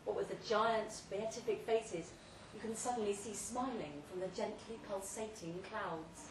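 A woman giving a theatrical, deliberate live reading of a comic short story, with dramatic pauses. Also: medium pitch. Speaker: story reader at a live literary event. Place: pub event room, before an audience. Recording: roomy, distant live recording, faint noise.

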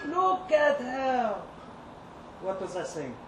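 Male reader as cocky, lovesick working guy for a live story monologue; streetwise, confiding, unhurried delivery, heard in a roomy space. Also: extremely high pitch, big pitch swings, heavily acted. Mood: smitten. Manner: colloquial, streetwise, confiding, rambling. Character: cocky, lovesick, resentful male kibbutz kitchen worker. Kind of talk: dramatic monologue read live. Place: indoor live reading event. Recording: roomy, distant live-event recording, faint noise.

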